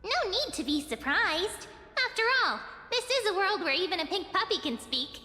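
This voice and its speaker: A chirpy little pink creature. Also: female voice, extremely high pitch, sing-song, normal speed, bright, forceful tone, heavily acted. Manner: chirpy, playful. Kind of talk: video game voice acting. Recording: roomy reverb, faint music.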